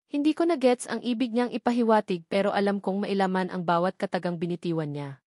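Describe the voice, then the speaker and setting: A woman's voice: medium pitch, brisk, a little mellow, no echo, clean studio sound. A woman with a Filipino accent reading a first-person romance novel as a scripted narration. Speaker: audiobook narrator.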